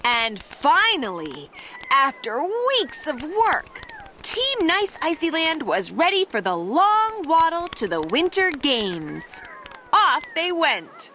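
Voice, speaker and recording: A woman reading a children's picture book aloud in an animated, theatrical manner with dramatic emphasis. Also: sits high, slow, slight background noise. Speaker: storybook reader.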